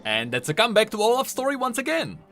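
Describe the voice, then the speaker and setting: Guy doing game lore commentary, bright forceful voice, super animated and emphatic, bit of music behind. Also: extremely high pitch, fast. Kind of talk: explanatory commentary voice-over. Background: faint music.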